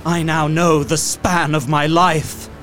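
An actor playing a despairing young solicitor held captive, speaking gravely in a gothic audio drama. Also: male voice, a little high, little pitch movement, slow pace, a bit bright, faint music behind. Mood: dread, despair. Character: despairing young English solicitor trapped in a castle.

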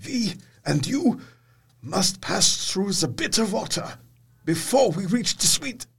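A man's voice: sits high, very animated, slow pace, a bit bright, slightly rough, full character voice. An actor playing an earnest, fatherly older professor, grave and mysterious, with solemn, emphatic and formal phrasing. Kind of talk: period audio drama acting.

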